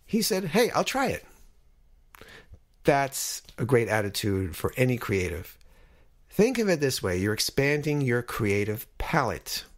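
A man hosts a podcast in an informal, conversational way. His voice sits at an average pitch for a man. He speaks encouragingly, as if chatting directly to his listeners. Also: big pitch swings, unhurried. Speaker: podcast host. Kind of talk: casual podcast talk.

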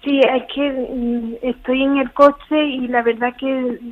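A woman's voice: high-pitched, flat delivery, slow, faint music behind. A young woman with an accent from Spain, chatting casually on the phone in a polite, agreeable way. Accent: Spanish from Spain. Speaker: prank call target. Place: in a car, on the phone. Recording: dull phone line.

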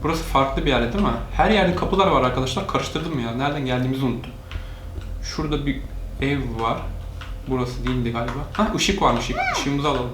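A man's voice: medium pitch, fairly quick. A man hosting a casual let's-play, narrating each move for viewers as a parent playing along with a child. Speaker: gaming channel host, parent playing with child. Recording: echoey room, faint noise.

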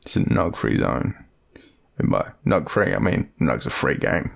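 Man playing a gravelly, low-voiced Australian friend with a crush. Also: expressive, unhurried, exaggerated voice. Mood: joking, rambling. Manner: rambling, hesitant, self-deprecating, swearing. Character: awkward, joking young man secretly crushing on his friend. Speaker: audio roleplay performer. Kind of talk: intimate casual roleplay, half-improvised.

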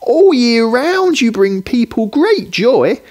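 Man voicing an eager, high-pitched little boy. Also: big pitch swings, takes it slowly, darkish tone, heavily acted. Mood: earnest admiration. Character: small, earnest, admiring little boy in storybook.

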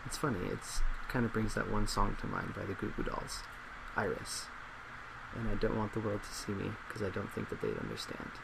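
Low, very creaky young man, ASMR. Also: normal speed. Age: 20s. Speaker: psychologist in an ASMR role play. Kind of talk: soft-spoken ASMR role play, reading responses and reflecting. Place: small indoor office at a desk. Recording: steady loud laptop fan hiss.

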